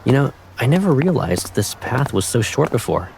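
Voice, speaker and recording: A man's voice: medium pitch, big pitch swings, normal speed, vocal fry, a little mellow, slight background noise, rough recording. Man acting a teasing, protective young man. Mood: teasing, nostalgic. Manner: teasing, affectionate. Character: teasing, protective young man, basketball-playing childhood friend. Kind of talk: fan dub of otome game dialogue. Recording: faint music behind.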